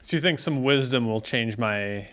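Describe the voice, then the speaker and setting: A man's voice: mid-range voice, normal speed, fairly bright tone. Dry, wry man in casual banter. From American Midwest. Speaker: podcast co-host.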